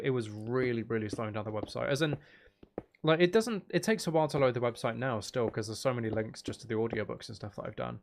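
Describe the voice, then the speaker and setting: A man streaming a game, chatting casually in a rambling, hesitant way as he thinks aloud. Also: medium pitch. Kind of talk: casual chatty gaming livestream commentary.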